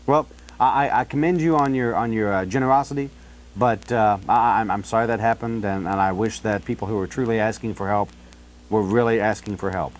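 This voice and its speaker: An American man in a casual phone conversation, talking in a halting, rambling way with frequent "you know". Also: lowish voice, fairly even intonation. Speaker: city council candidate on a phone call. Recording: telephone call.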